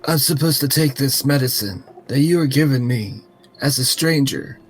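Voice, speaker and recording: A man's voice: medium pitch, normal speed. A man taking part in a tabletop game, casually role-playing and describing his character. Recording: clear background room noise.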